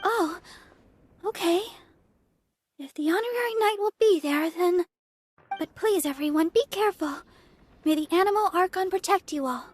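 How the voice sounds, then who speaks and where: A woman voicing a timid, gentle and anxious young church girl in a video game, hesitant in her speech. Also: extremely high pitch, moderate pace, pauses often, a little hiss or hum. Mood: worried blessing. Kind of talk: video game dramatic acting.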